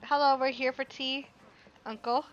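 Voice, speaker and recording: A woman's voice: sits high, flat delivery, normal speed, lo-fi sound. A woman giving casual live commentary as a game streamer, chatty and informal, musing aloud. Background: game music.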